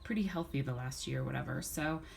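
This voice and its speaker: A middle-aged woman with a slightly hoarse voice from a cold, chatting casually and rambling through a haul video at home. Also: extremely low pitch, fast, a little hiss or hum. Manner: chatty, rambling, with many restarts and fillers. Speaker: vlogger.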